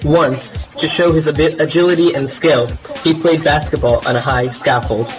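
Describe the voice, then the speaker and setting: A man's voice: fairly high pitch, steady tone, normal speed, rough recording. A young man giving straight storybook narration that slips in jokey modern lines. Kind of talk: scripted comic narration. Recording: music clearly under the voice.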